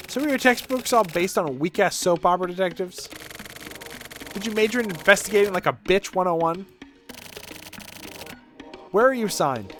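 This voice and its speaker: A man's voice: high voice, fairly bright tone. A man voicing a sarcastic, foul-mouthed senior detective who scolds a partner, reading game dialogue aloud. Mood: mocking, scornful. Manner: sarcastic, scornful, profane. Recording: music playing behind the voice.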